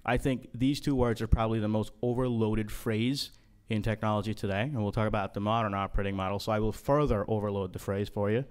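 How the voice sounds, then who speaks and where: Low-voiced man, joking conference talk. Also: steady tone, fast, darkish tone, clear, resonant tone. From Guyana. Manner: casual, joking, many ums and uhs. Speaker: conference speaker. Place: conference room.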